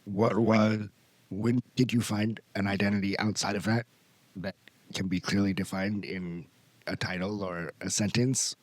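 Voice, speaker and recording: A man's voice: on the low side, fairly even intonation, a little slow, no echo. A man with an American accent, hosting a podcast and asking probing follow-up questions. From United States. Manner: probing, restates guest's points. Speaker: podcast host. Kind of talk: interview questions.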